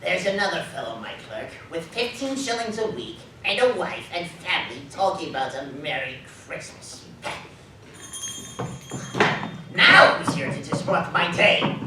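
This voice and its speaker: A woman's voice: lowish voice, very animated, normal speed. A woman playing a bitter, miserly old man in a live radio drama, scornful, curt and emphatic. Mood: cold, sardonic. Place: live community theatre performance. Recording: roomy live stage, distant mic, faint noise.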